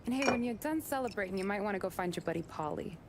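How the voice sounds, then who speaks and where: A woman's voice: medium pitch, expressive, fast talker, lightly acted. Casting: a woman as a teasing party girl, noisy game mix. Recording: game audio with clear background noise.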